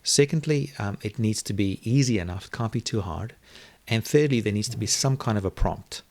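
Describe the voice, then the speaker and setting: A man's voice: fairly low pitch, fairly quick, darkish tone. A man whose first language is Afrikaans, musing in an interview with frequent fillers and restarts. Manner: thoughtful, many fillers and restarts. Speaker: interview guest. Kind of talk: reflective conversational interview.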